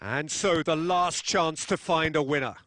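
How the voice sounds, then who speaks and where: Male game commentator, dramatic football play-by-play, high pitched, very dry studio sound. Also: unhurried, fairly bright tone, no echo. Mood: engaged, dramatic. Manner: dramatic, punchy phrasing.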